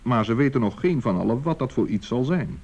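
A man tells a children's story as radio-play narrator. His medium-pitched voice follows a script, and the old recording sounds slightly muffled. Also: lively intonation, brisk, a little mellow.

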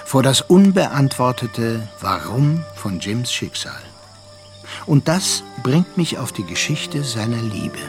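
A man's voice: mid-range voice, sing-song. Reflective male storyteller over music. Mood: reflective, melancholy. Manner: measured, contemplative. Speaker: narrator. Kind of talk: literary radio play narration.

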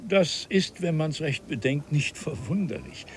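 An actor playing an elderly, courteous scholar from an ancient civilization in a German radio play, speaking in formal, archaic, ornate phrases. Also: male voice, on the high side, big pitch swings, measured pace. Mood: superior, instructive. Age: older. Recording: 1970s radio play with audible noise.

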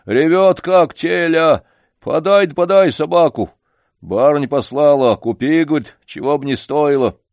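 A man reading an audiobook, voicing a loud, pushy male yard-keeper who keeps coaxing and pleading. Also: a little high, a little slow, dry recording, clean studio sound, voice pushed up. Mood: insistent. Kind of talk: audiobook character voice.

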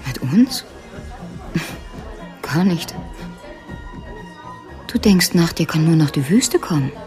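Low-voiced, sardonic, resolute woman. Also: expressive, slow pace, darkish tone, faint music behind. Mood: cool, defiant. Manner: matter-of-fact, pointed, curt. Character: sardonic, resolute woman, lawyer's former lover. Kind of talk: German radio crime drama acting.

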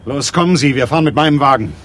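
An actor playing a cynical, curt private detective in a radio crime drama, dry and sardonic. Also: male voice, medium pitch, fast talker, a bit bright, lightly acted. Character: hard-boiled male private detective, cynical, curt and commanding. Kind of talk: radio crime drama with first-person narration. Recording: 1980s radio play, faint background noise.

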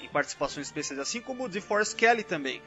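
A man's voice: high-pitched, lively intonation, quick pace, sharp tone, lo-fi sound. A Brazilian man in a casual podcast discussion, rambling and constantly adding little tag questions. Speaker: podcast panelist. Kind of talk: casual chat, film and TV trivia discussion. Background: background music.

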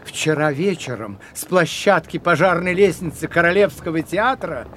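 High-voiced gossiping bystander. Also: male voice, animated, normal speed, a bit bright, slight background noise. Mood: astonished. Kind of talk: radio play dialogue.

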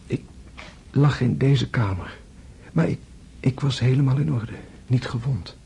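An actor playing a gravely wounded prince in a radio drama, tender and philosophical, speaking in hushed, halting, weakened tones. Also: male voice, mid-range voice, a little hiss or hum. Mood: haunted, fearful. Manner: hushed, halting, intense delivery, often whispering. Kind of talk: Dutch radio drama acting.

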